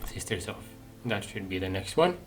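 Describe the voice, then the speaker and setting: Male gaming host, low pitch, casual commentary, hesitant and musing, game music behind him. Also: expressive, moderate pace, a bit bright. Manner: hesitant, musing, thinking aloud.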